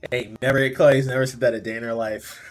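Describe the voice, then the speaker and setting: A man's voice: mid-range voice, little pitch movement, fast, faint music behind. A man bantering casually and jokingly while dubbing a game with friends. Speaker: fandub performer.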